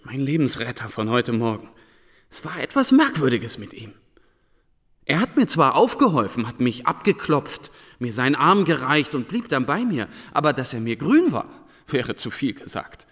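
A man's voice: mid-range voice, big pitch swings, normal speed, fairly bright tone, big-room sound. A man in a radio play acting an earnest, brooding schoolteacher who questions and reflects with intensity. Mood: troubled. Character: brooding, earnest male schoolteacher, fascinated and indignant. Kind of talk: German radio drama dialogue.

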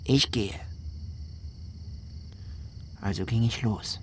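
A man's voice: lowish voice, drawn-out words, slight background noise. An actor playing a male flood survivor, a husband who recounts hardship in a radio drama, emphatic when quoting people. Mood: recounting, heartfelt.

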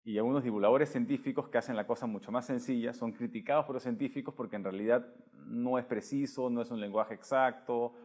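A man's voice: mid-range voice, fairly even intonation, quick pace, few pauses, darkish tone, slightly rough. A man interviewing conversationally, thinking aloud and adding frequent tag questions. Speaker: interviewer, host.

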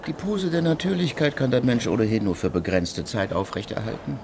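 An actor playing an aging male jurist who coolly justifies himself in measured, lecturing speech, in a radio drama. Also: medium pitch, creaky, noisy background, natural voice.

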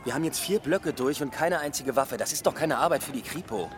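A man's voice: fairly high pitch, expressive, brisk, lightly acted. A man playing a cool, sceptical police detective in a radio drama. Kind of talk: German radio drama acting. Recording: clear outdoor street noise.

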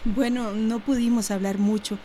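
A woman's voice: fairly high pitch, fairly even intonation, normal speed, mellow, a little hiss or hum, close to an everyday voice. An actress playing a worried, polite middle-aged village mother in a radio drama. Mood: worried, relieved. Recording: crowd murmur behind.

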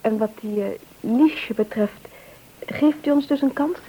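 A woman's voice: fairly high pitch, a little slow, dark tone. An actress playing an eager, concerned married woman in a radio play, politely questioning, with a Netherlands Dutch accent. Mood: polite, anxious. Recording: dull, noisy old radio recording.

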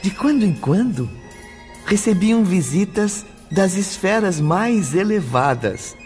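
A man with a Brazilian accent reading a scripted radio drama narration in a measured, solemn way. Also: high voice, big pitch swings, darkish tone, soft background music.

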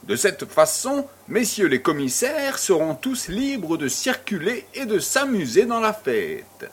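A man plays a grand, jovial baron in an audio drama, high in pitch and swooping with lively intonation. He is pompous and ceremonious, and he takes his time over every phrase. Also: measured pace, breathy edge, heavily acted. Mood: officious, approving. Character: pompous, jovial male baron hosting a charity fête. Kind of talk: French audio drama acting.

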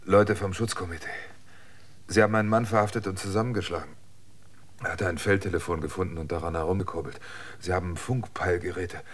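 A man playing a shaken, wary figure in a German radio drama, husky and halting. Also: low-pitched, steady tone. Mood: urgent. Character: shaken, weary man warning a friend, wary.

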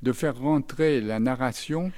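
A man giving a reflective talk as a composer, halting and searching for words as he speaks in short segments. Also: mid-range voice, darkish tone. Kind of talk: talk delivered in short segments with pauses for an interpreter.

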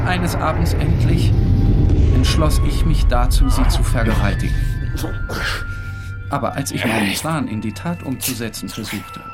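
An actor playing a tormented, obsessive man, narrating his own story in a deliberate, brooding and intense literary style. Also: a little high, natural voice. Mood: agitated. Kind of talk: dramatic first-person literary narration. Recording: studio narration over clear music.

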